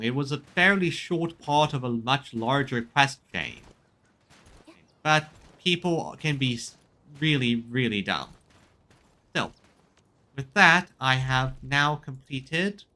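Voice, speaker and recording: Bright-voiced man chatting as a game streamer. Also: medium pitch. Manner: halting, repeats and rephrases himself mid-sentence. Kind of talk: casual chat commentary on a game. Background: music in the background.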